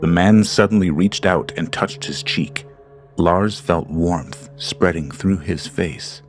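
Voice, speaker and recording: Super low gravelly guy narrating a scary story, slow and deliberate. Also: soft background music. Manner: slow, deliberate, ominous delivery. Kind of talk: scripted horror narration.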